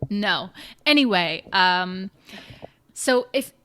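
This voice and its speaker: Podcast co-host, woman, animated, bright tone, playful, second-language English speaker. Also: mid-range voice, drawn-out words, smooth. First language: not English. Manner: laughs often, lively and playful. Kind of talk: casual chat. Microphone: remote call.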